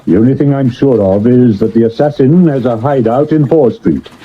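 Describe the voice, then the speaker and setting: Wry, slightly husky gentleman sleuth, acted. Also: mid-range voice, moderate pace, lightly acted. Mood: wry, assured. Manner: dry, witty, commanding, lofty. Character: urbane, wry, self-assured Victorian gentleman sleuth. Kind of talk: period radio drama acting. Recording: muffled 1970s medium wave radio recording.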